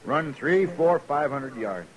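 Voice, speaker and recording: An actor playing a rough, hot-tempered horse bettor with an in a 1950s radio western. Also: male voice, medium pitch, expressive, slow, rough recording. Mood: brash, challenging. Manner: blunt, challenging. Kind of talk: 1950s radio drama acting.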